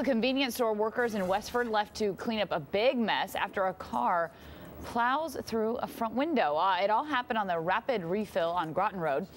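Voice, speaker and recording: An American woman anchors a morning newscast at a medium pitch. She mixes news reading with light banter, and there is noise behind her voice.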